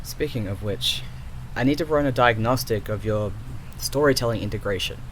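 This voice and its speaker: A man's voice: fairly low pitch, normal speed, close to an everyday voice. Man acting an exasperated AI programmer, noisy backdrop. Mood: concerned, scolding. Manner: exasperated, sighing, sarcastic. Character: exasperated male creator and programmer of an archive AI. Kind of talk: audio roleplay acting.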